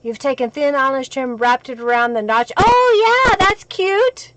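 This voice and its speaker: A woman with a crackly, hoarse voice from a cold, hosting a crafting live stream and chatting with viewers as she works. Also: sits high, animated, measured pace, a bit bright, no room sound.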